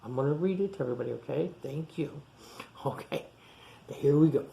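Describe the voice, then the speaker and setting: A man reading a picture book aloud to young children, pausing for simple explanations and questions. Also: on the high side, creaky, warm tone, slight background noise. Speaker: storytime reader for young children. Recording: echoey room sound.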